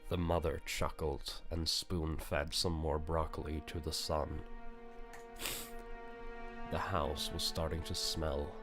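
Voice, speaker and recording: A man reading a visual novel aloud as narrator, in a grave, deliberate storytelling manner. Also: a bass voice, flat delivery, music in the background.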